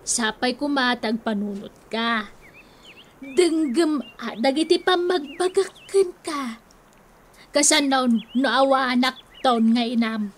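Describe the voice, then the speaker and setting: A woman's voice: sits high, lively intonation, takes it slowly, heavily acted. An actress playing a long-suffering, nagging older mother and wife in a Filipino radio comedy drama, with a Filipino accent. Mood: pleading, scolding.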